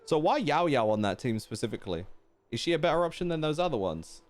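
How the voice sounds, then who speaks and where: A man's voice: a little high, sing-song, fast talker. A man chatting casually as he streams a game, thinking aloud and putting questions to his viewers. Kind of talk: casual live-stream chat while playing a game. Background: game music.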